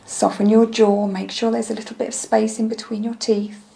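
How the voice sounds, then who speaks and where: Soothing lady doing guided meditation, soft mellow tone, bit crackly, slow gentle instructions. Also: on the high side, little pitch movement. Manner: soothing, gentle, unhurried instruction with soft breathy phrase endings.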